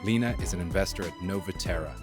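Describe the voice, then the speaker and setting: A man with a deep, low voice hosts a podcast interview. He asks his questions in a measured, friendly way. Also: music in the background. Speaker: podcast host.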